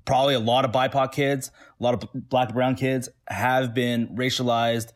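A man from Toronto answering interview questions in a relaxed way, with many fillers and restarts. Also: mid-range voice, moderate pace. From Toronto, Canada. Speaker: interview guest.

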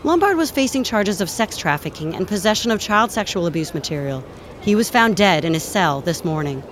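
An actress plays a crisp female field reporter in an audio drama. She delivers live news in a measured, formal way at medium pitch, with clear street noise and sirens behind her. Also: animated, natural voice. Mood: composed, formal.

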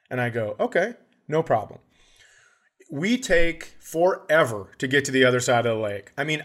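A man hosting a podcast, telling a casual comedic story in a profane, exasperated way and acting out the dialogue. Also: medium pitch. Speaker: podcast host.

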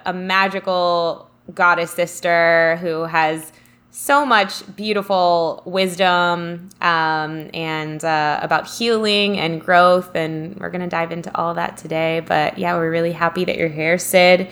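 A woman hosting an interview, giggly and effusive, with quick rushed asides. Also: fairly low pitch, fairly even intonation, fairly bright tone. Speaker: podcast host.

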